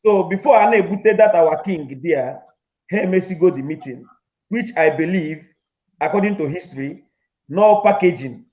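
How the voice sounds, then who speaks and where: A man's voice: high voice, moderate pace, warm tone. A man with a Nigerian accent, interviewed as a community leader and telling local history, mixing Igbo and English. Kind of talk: casual storytelling interview. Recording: dull lo-fi recording.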